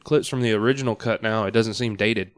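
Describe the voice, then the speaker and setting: Clear-voiced man chatting, close and dry. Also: on the low side, fairly even intonation, a little fast, no echo. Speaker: podcast guest. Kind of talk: casual chat. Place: podcast studio.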